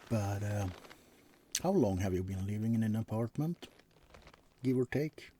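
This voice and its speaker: A man playing a suave, teasing vampire in a soft, often whispered bedside ASMR roleplay, murmuring with dramatic pauses. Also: lowish voice, lively intonation. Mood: playful, theatrical.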